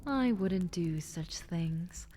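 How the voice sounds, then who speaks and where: A woman voicing a menacing, politely condescending female drider in an ASMR roleplay, speaking in a soft, breathy half-whisper. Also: fairly low pitch, expressive, very slow, slight background noise. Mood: sinister, amused.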